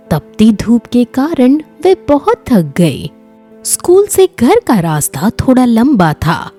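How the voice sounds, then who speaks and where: Female storyteller for children's tales: mellow, unhurried, expressive and dramatic narration. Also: medium pitch, slightly rough.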